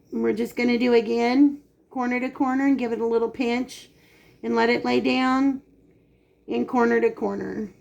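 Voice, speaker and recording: Raspy woman chatting while cooking. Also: fairly high pitch. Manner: chatty, explains steps while working. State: dry, raspy throat. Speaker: cooking channel host. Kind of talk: casual cooking demonstration. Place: home kitchen.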